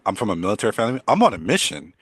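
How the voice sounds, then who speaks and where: An older man with a deep voice teaching English in a chatty way, repeating words and laughing often. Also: big pitch swings, racing, slightly rough, dry recording. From United States. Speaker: English coach. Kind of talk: casual teaching conversation.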